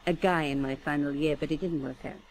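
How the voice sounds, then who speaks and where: An actress playing a self-possessed young woman in a video game, dry and unhurried. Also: deep voice, fairly even intonation, slight background noise, poor audio. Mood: detached. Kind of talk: video game character acting.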